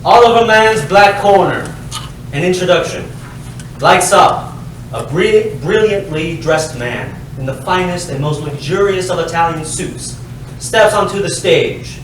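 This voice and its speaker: A man reading stage directions as scripted narration, with dramatic, deliberate phrasing. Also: high-pitched, expressive, a bit bright. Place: live staged reading, echoey indoor space. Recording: echoey room, distant mic, faint noise.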